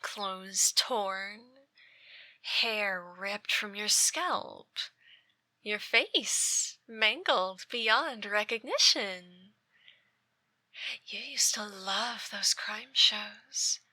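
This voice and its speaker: Obsessive stalker ex-girlfriend , played by a woman for ASMR drama: extremely unhurried, crisp and close-miked dry, whispery, theatrical menace. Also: medium pitch, bright, forceful tone, dry recording, full character voice. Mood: sinister, threatening. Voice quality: breathy, often dropping into whispers. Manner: slow, deliberate, taunting, theatrical. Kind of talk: ASMR roleplay, dramatic monologue. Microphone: close dry microphone.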